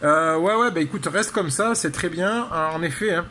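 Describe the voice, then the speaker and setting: Higher-voiced man chatting over background noise. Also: normal speed, sharp tone. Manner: hesitant, rambling, repeats tag words. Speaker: amateur radio operator. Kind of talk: casual radio contact chat, technical.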